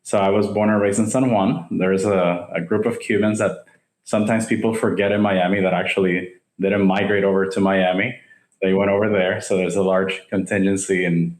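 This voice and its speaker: A man from Rico, a podcast guest speaking in a measured, businesslike way. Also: fairly low pitch, darkish tone. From Puerto Rico. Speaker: guest, home builder. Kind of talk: podcast interview. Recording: echoey room.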